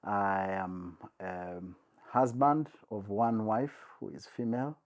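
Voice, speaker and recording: A man's voice: lowish voice, slow pace, no room sound. Ugandan guy chatting easy as a guest, lots of ums, dry close sound. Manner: relaxed, laughs often, many fillers. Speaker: guest, advertising CEO. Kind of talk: casual interview chat.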